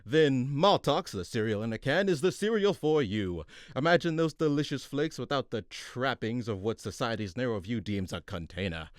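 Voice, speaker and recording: A man's voice: medium pitch, animated, moderate pace, hardly any pauses, no echo, clean studio sound, natural voice. Theatrical serial announcer, played by a man: mid-range, grandiose on air, sulky and disgruntled off it. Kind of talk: old-fashioned serial radio announcing parody.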